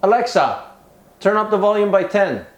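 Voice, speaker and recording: A man in middle age explains a product demonstration step by step. His voice is somewhat high for a man. The sound is clearly echoey, as in a living room. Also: very animated, moderate pace. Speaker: product demo presenter.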